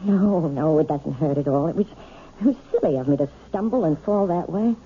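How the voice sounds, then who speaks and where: An actress playing a timid, proper wife who gives piano lessons, speaking gently and nervously in a 1970s radio drama. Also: female voice, low-pitched, lively intonation, brisk, slight background noise. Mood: nervous, prim. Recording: tinny, narrow old radio broadcast.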